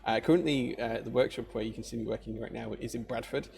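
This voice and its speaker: A man's voice: medium pitch, steady tone, fast, creaky, darkish tone. A married man with a Yorkshire accent, chatting casually and rambling with viewers during a livestream from his workshop. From Yorkshire, England. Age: adult, married. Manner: chatty, rambling, many fillers and restarts. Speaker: maker livestreamer.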